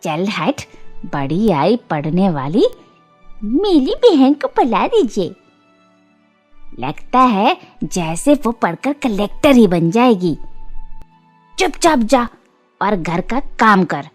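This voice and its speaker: A woman's voice: medium pitch, very animated, takes it slowly, long pauses, faint music behind, voice pushed down. A woman voicing a harsh, scornful middle-aged aunt in a storybook cartoon, scolding and ordering people about. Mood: scornful, threatening. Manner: scolding, sneering, commanding.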